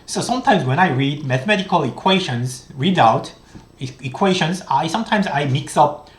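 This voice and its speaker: A man in his thirties, a native Korean speaker with a Korean accent, lecturing on economics in a halting, step-by-step way with many restarts. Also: a little high, animated, roomy sound. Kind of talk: recorded online lecture. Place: small room indoors.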